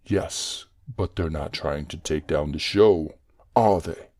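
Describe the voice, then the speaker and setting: An actor playing a cold, controlling villain uncle in an audio drama, with a hoarse, raspy voice and a clipped, dismissive manner. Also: rumbling low, takes it slowly, a little mellow, no room sound, studio quality.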